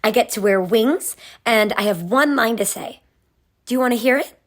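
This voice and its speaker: A female reader voicing a child's lines from a storybook in an earnest, expressive way. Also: a little high, moderate pace. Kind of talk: storybook character reading.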